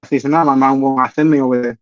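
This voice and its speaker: Boxer on a dull, dry call. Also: male voice, mid-range voice, fairly even intonation, fast talker, no echo, faint music behind. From Puerto Rico. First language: Spanish. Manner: short, plain sentences. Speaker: boxer guest. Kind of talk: casual interview answers. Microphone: video call from outdoors.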